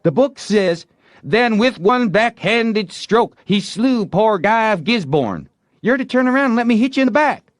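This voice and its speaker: A man voicing an excitable, bossy young boy in a storybook, talking theatrically and in folksy dialect as he plays a bold outlaw. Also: high-pitched, a little slow, no echo, voice pushed up. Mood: exasperated, insistent. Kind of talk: acted storybook dialogue.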